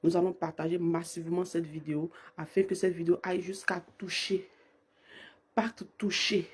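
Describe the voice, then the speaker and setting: A woman leading a live-streamed morning prayer with fervent, repetitive invocations, her voice hoarse from a cold. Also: on the low side, little pitch movement, moderate pace. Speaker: prayer leader.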